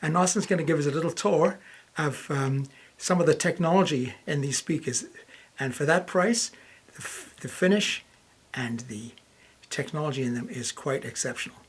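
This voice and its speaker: A man's voice: fairly high pitch, normal speed, breathy edge. A man hosting a casual product review, talking informally as he introduces and asks questions. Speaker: reviewer and host.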